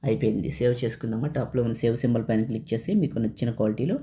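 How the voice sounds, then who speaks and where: A woman narrates a how-to screen tutorial in a low, mellow voice. She moves quickly through the steps, giving short, practical instructions. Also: steady tone. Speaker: tutorial host.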